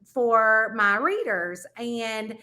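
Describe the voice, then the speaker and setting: A bubbly woman with a Southern US accent hosts a casual podcast chat, gushing and laughing easily. Her voice sits a little high and sounds bright. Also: expressive, very slow. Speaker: podcast host.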